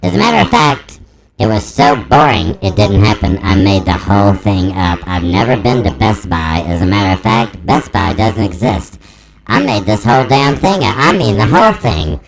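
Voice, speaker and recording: A man in his forties rambling through a deadpan, profane improvised comedy monologue, his voice run through a pitch-shifting voice transformer. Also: moderate pace, a crowd in the background, low-quality recording. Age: 40s. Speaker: comedic host demonstrating a voice-effect device.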